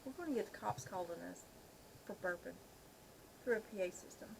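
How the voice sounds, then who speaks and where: A woman's voice: fairly low pitch, brisk, gravelly. Middle-aged woman chatting, background noise. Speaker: video host. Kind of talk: casual chat.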